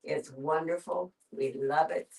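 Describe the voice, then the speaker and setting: A woman's voice: low-pitched, steady tone, measured pace, gravelly, a little mellow. An older woman casually sharing her thoughts in a group discussion. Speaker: audience participant. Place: live group gathering in a room. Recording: distant, off-mic pickup.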